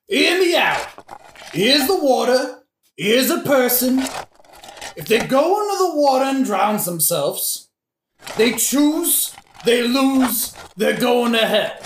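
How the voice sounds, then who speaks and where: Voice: high voice, full character voice. A performer playing a drunken, cheeky gravedigger who philosophizes and argues with mock logic in a modern comic audio drama. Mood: insistent. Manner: argumentative, mock-logical, joking, sometimes singing slowly. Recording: roomy echo, faint noise.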